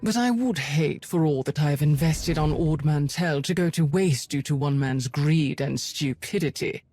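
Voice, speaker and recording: An actress playing a cold, commanding spymaster of an evil empire in a video game, formal, measured and quietly threatening. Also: female voice, sits low, dry recording, faint music behind. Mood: menacing. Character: cold, commanding Imperial spymaster, composed and menacing.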